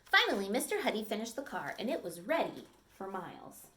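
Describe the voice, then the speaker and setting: A woman teacher reading a picture book aloud to children, with expressive storytelling and friendly asides to her listeners. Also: mid-range voice, fairly quick.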